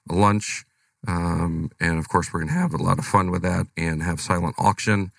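A man with a cold hosts a radio show, his low voice rough and gravelly. He talks in a relaxed, rambling way with lots of fillers. The studio sound is dry and close. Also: normal speed, dry recording. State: has a cold. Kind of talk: casual radio talk.

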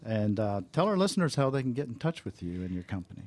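A man's voice: medium pitch, sing-song, fast talker, a little mellow. A man hosting a radio interview, asking friendly, encouraging questions. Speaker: radio show host. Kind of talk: interviewing a guest.

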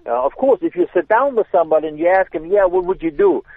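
A man's voice: high voice, quick pace, dry recording. German-accented man on a tinny phone line. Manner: relaxed explaining, hesitant restarts. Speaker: self-defense instructor guest. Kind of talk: casual chat.

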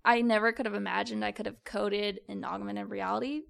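A woman's voice: medium pitch, fairly even intonation, a little fast, gravelly, a bit bright. A young woman, a student founder, answering interview questions in an upbeat way with many fillers. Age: young adult.

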